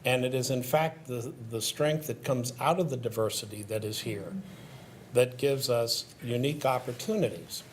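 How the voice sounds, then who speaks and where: An older man talks on a discussion panel at a middling pitch. He explains in a measured, thoughtful way, building his points like a lecturer. A faint noise sits under the recording. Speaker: panel guest.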